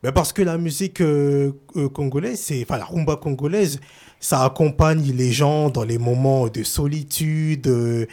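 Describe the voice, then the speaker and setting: A man's voice: a little high, measured pace, darkish tone. A man from France, a journalist and author, explaining his subject in casual talk-show chat with frequent hesitations. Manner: hesitant, many fillers.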